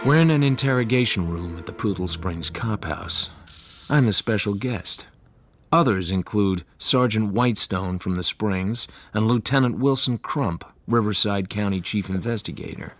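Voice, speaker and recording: An actor playing a wisecracking, world-weary private detective, dry and laconic, in hardboiled radio drama style. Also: male voice, lowish voice, moderate pace, fairly bright tone, soft background music, natural voice. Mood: sardonic. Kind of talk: hardboiled first-person radio drama narration and dialogue.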